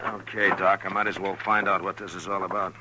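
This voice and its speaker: An actor playing a calm, authoritative lawman, terse and commanding, in a 1950s radio western. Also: low voice, fairly quick, close to an everyday voice. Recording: noisy old radio show recording.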